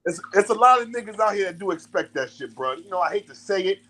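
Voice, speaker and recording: Animated man in loose slangy chat. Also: high voice, quick pace, rough recording. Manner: animated, slangy storytelling with acted-out quotes. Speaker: podcast host. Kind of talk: casual chat.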